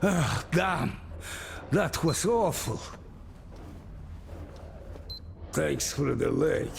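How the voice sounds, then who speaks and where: Weary, wary survivor captain: heavy fry, slow delivery, wry game-cutscene drama. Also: male voice, fairly high pitch, animated, background noise, full character voice. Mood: strained. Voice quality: gravelly. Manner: deliberate, wry, world-weary. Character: weary, distrustful older male captain of survivors. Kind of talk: cinematic video game acting.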